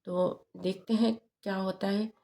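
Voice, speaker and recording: A woman reading tarot cards to camera, hoarse from a sore throat, speaking haltingly with many fillers. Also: medium pitch, steady tone, warm tone. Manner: slow, halting, many fillers and tag questions. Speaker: tarot reader.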